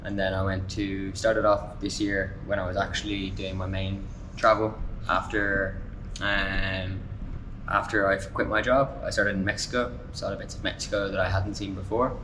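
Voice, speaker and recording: Young Irish man, low voice, casual. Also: normal speed, noisy background. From Ireland. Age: 20s. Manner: relaxed, frequent fillers like "um" and "like". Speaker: traveler guest. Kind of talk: casual conversational interview.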